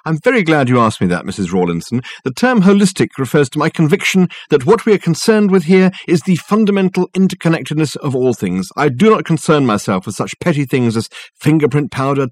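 A man's voice: medium pitch, no echo, voice pushed up, close to an everyday voice. A man voicing a testy, grandiose private detective with pompous, glib, fluent patter.

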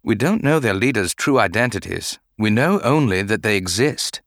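A man voicing a composed, guarded Jedi master in a dramatic audiobook, speaking in a measured, formal manner. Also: lowish voice, expressive, a bit bright, no echo, clean studio sound. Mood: grave, composed. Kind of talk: dramatic audiobook character acting.

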